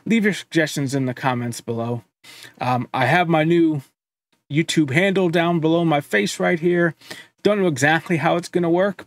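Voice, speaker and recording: A man in his thirties hosting a music reaction video from a home studio, talking in a relaxed, chatty way with frequent filler words. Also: on the high side, no echo. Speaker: reaction channel host. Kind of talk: casual music reaction commentary.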